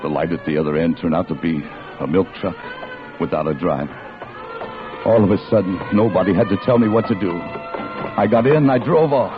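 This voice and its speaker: Wounded gunman on the run, male actor: low, hard-boiled, halting, pained. Vintage radio sound over music. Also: lightly acted. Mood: pained, grim. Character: wounded desperate fugitive gunman telling his own flight. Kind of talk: 1940s radio drama acting, first-person crime narration. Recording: muffled vintage radio broadcast with music behind.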